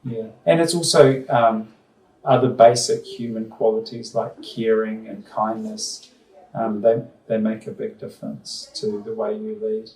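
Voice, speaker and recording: A man's voice: fairly low pitch, steady tone, unhurried, a little mellow. New Zealand man, echoey and far off. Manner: thoughtful, hesitant with many ums and restarts. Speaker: school principal. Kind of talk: casual interview answers. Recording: roomy sound from far off the mic.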